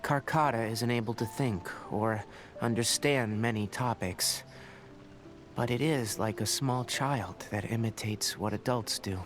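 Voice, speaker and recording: A man playing a composed, pragmatic young scholar in an anime video game, measured and matter-of-fact. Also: mid-range voice, close to an everyday voice. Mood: thoughtful. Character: composed young male forest ranger scholar, pragmatic, quietly caring. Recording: game music behind the voice.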